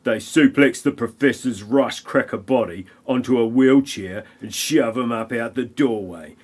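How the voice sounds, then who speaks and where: A man's voice: medium pitch, unhurried. A man narrating a comic parody audio play in a wry, mocking manner.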